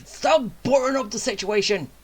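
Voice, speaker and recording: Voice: medium pitch, normal speed, slight background noise. A video game streamer giving casual, joking reaction commentary on the dialogue.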